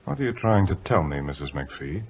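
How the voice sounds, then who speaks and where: An actor playing a stoic, formal British naval captain in an old-time radio drama, speaking in a clipped, restrained way. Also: male voice, low voice, big pitch swings, brisk, darkish tone, a little hiss or hum. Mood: subdued grief. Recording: dull vintage radio recording, orchestral music behind.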